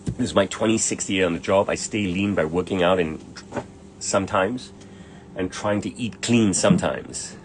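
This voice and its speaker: A man's voice: sits low, normal speed, slight background noise, poor audio. A middle-aged man, a tailor, answering viewer questions in a blunt, brash way and swearing freely. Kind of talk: casual livestream Q&A.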